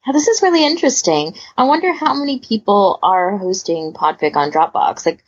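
A woman co-hosting a podcast, chatting casually in a husky, gravelly voice. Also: medium pitch, lively intonation, a little fast, dull audio. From United Kingdom. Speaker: podcast host.

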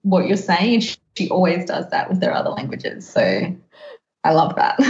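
A woman's voice: fairly low pitch, sing-song, a little slow, a little mellow, slight background noise. A woman hosting a podcast in casual chat, with a giggly way of talking that often breaks into laughter. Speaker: podcast host. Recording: slightly dull, echoey room.

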